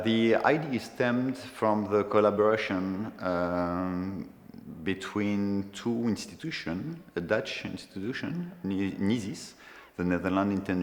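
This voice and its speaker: Low-voiced man in an echoey room. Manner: measured, stopping to recall names. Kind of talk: documentary interview.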